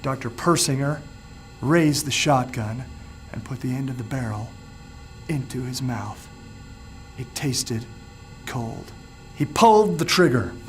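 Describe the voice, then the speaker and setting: A man's voice: mid-range voice, lively intonation, music in the background, rough recording. Suspenseful male audiobook narrator. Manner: measured, suspenseful storytelling. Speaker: author reading his own story.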